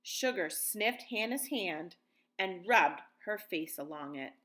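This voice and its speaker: A woman's voice: lowish voice, expressive, slow, fairly bright tone. An American woman in her thirties, a teacher reading and talking to young children in simple, guiding phrases. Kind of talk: picture-book read-aloud and lesson for children.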